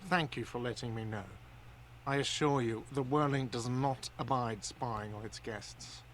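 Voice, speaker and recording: An actor playing a grumpy middle-aged hostel manager in a video game, gruff and defensive, swearing now and then. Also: male voice, mid-range voice, steady tone, measured pace, a little hiss or hum, lightly acted. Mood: grumbling. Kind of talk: game voice acting.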